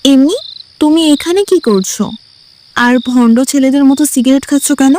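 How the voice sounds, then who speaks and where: Woman acting a high-voiced, cheeky lovestruck young woman. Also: normal speed, warm tone, a little hiss or hum, natural voice. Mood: cheeky, bossy. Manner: teasing, cheeky, persistent. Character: mischievous, bold, lovestruck young female university student. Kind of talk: Bengali audio story acting.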